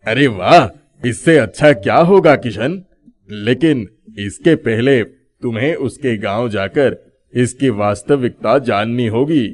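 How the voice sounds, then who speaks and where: A man's voice: mid-range voice, slow pace, natural voice. A man playing a benevolent, authoritative middle-aged village headman in a story. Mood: pleased.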